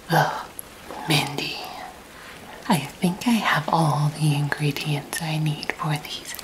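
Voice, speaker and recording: Woman voicing a prim alien housewife, breathy whisper throughout, very slow delivery, close-mic ASMR. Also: sits low, rough recording. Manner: whispered, sugary, smug small talk. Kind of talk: ASMR roleplay. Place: pink kitchen set, indoors.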